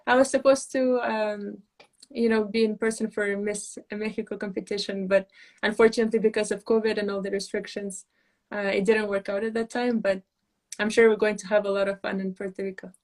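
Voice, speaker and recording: A young woman from Moldova, a pageant titleholder, chatting earnestly on a live video call with soft laughs and fillers. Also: medium pitch, level tone, fairly quick. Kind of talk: casual live video chat.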